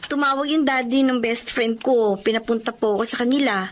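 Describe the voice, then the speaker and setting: A woman's voice: on the high side, a little fast, lightly acted. A woman playing a polite, anxious young daughter. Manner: polite, uses respectful po. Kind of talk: Filipino radio-style drama acting.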